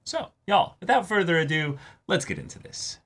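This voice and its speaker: A man's voice: on the high side, wildly swooping pitch, moderate pace, fairly bright tone. A man co-hosting a reaction show, commenting casually with sarcastic jokes and some swearing.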